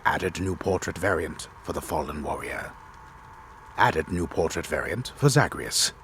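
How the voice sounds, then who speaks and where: A man voicing a grave, disembodied game storyteller in a solemn, deliberate, theatrical reading. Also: sits low, animated, a bit bright, a little hiss or hum, full character voice. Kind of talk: dramatic reading of game patch notes.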